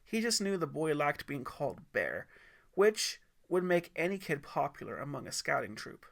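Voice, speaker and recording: A man's voice: on the high side, sing-song, moderate pace, vocal fry, fairly bright tone. A man reading scripted story narration as the narrator of his own audiobook.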